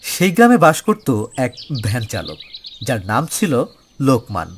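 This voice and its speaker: A man's voice: mid-range voice, wildly swooping pitch, a little slow, slight background noise. A man narrating a scripted horror story in a dramatic manner.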